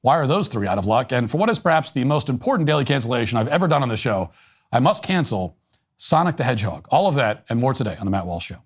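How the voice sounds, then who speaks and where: A man's voice: medium pitch, very animated, fast. A man hosting a political commentary show, delivering a scripted monologue in a sardonic, emphatic way. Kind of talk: read ad endorsement.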